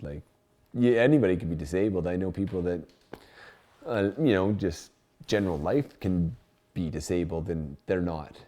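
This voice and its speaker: A man in his thirties speaks in a documentary interview. His voice is low and his manner casual and matter-of-fact, with little fuss. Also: mellow. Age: 30s.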